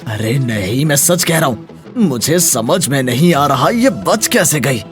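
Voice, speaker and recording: A man's voice: medium pitch, expressive, unhurried, soft background music, natural voice. An actor playing a thuggish, boastful and menacing village villain, devoted to his lover, in a dramatized moral story. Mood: defensive bravado.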